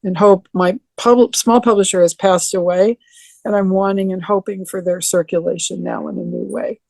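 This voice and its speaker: Older woman, deeper voice, rambling video-call interview guest, dry close sound. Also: fairly even intonation, moderate pace, a little mellow, no echo. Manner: rambling, many restarts and ums. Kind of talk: relaxed reminiscing over a video call.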